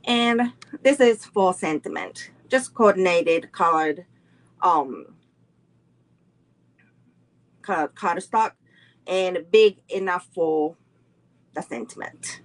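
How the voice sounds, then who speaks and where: Woman, middle-aged, card-making instructor, very slow unhurried delivery, chatty live-class teaching. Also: on the low side, lively intonation, low-quality recording. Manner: slow, unhurried, greets viewers and chats between steps. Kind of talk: live online craft class.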